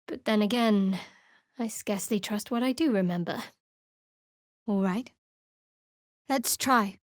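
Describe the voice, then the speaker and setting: A woman playing a guarded young half-elf cleric in a fantasy video game, with a dry, sardonic, measured delivery. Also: a little high, dry recording, lightly acted.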